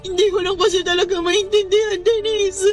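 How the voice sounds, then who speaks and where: A woman's voice: very high voice, little pitch movement, fairly quick, faint music behind. A woman with a Filipino accent playing a heartbroken, pleading young woman in a radio drama, whining tearfully. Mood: sobbing, distraught. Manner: whiny, tearful, emotional delivery.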